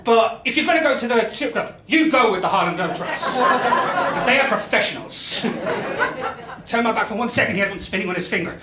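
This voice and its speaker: Self-deprecating comic with West Country accent. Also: male voice, sits high, fairly quick, noisy background. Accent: West Country English. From Ireland, raised in Spain. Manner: self-deprecating, deadpan punchlines. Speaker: stand-up comedian. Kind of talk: stand-up comedy set. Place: comedy club stage. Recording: echoey club with crowd laughter. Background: audience laughing.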